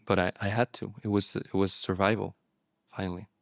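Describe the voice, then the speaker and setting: A low, gravelly male voice, close and dry with no room around it. He speaks English as a second language, with Spanish his first, and talks in a reflective, halting way, circling back and restarting his thoughts. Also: flat delivery, no echo. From born in Morocco, raised abroad. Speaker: guest, relapse prevention therapist. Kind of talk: candid interview talk.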